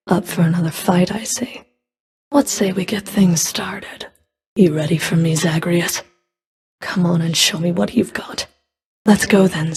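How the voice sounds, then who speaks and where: An actress voicing a stern, scornful female underworld enforcer in a video game, with a cold, deliberate, menacing manner. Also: on the low side, gravelly, a little mellow. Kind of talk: video game character voice lines.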